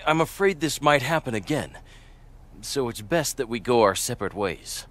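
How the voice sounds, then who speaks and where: A man playing a brooding, remorseful young fantasy warrior in a video game, speaking in a grave, measured way. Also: medium pitch, expressive, a bit bright, close to an everyday voice. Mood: somber, resolved. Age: young adult. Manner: grave, measured, self-reproaching. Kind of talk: video game cutscene acting.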